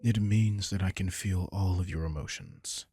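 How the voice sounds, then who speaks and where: A man performs a powerful yet gentle vampire king in an intimate comfort roleplay. The voice sits very low, with heavy fry and a husky edge. He speaks in an unhurried, protective way, as if to a single listener. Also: no echo, exaggerated voice. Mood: earnest, confiding. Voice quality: gravelly, husky. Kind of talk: intimate roleplay audio drama, comforting monologue.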